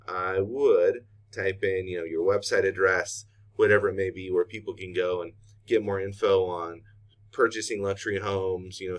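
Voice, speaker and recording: Middle-aged man, lowish voice, informal screen-share training, unscripted, thinking aloud. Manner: casual, unscripted, thinking aloud while clicking. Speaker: software trainer.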